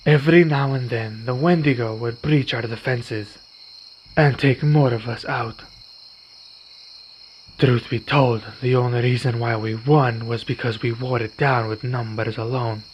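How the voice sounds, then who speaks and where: A man playing a weary, courteous vampire in an ASMR roleplay, speaking in formal, measured phrases. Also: medium pitch, steady tone, lightly acted. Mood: solemn recounting. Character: weary, courteous male vampire, wounded but calm. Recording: dull recording with night insect noise. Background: crickets and night insects.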